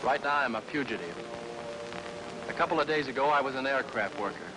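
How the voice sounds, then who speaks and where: An actor playing a hunted young American aircraft worker, narrating an old film trailer in an earnest, urgent way over music. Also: male voice, mid-range voice, expressive, a little fast, lightly acted, low-quality recording. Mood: tense.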